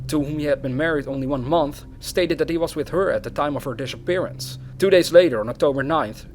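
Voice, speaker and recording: A man's voice: medium pitch, slight background noise. A man narrating true crime as host of the series, reading aloud in a storytelling format. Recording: music behind the voice. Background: background music.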